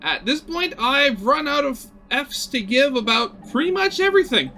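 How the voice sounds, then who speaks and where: Bright, higher-pitched man narrating a visual novel. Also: sing-song, normal speed, slight background noise. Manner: dramatic reading with brief conversational asides. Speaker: video game let's player reading a visual novel aloud.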